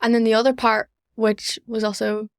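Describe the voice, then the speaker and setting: A woman's voice: fairly high pitch, level tone, normal speed, smooth, dry recording, studio quality. A young woman, chatting earnestly as an interview guest. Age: young adult. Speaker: podcast guest, student society organiser. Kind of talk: conversational interview answers.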